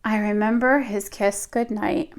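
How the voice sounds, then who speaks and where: A woman reading aloud to young children in an expressive storytelling style, with dramatic emphasis. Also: mid-range voice, unhurried. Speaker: children's story reader, teacher.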